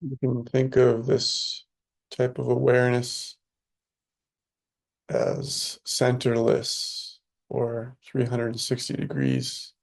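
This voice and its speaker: Slow, creaky-voiced man guiding meditation. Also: medium pitch. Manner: calm, unhurried, with long pauses. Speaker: meditation teacher. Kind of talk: guided meditation.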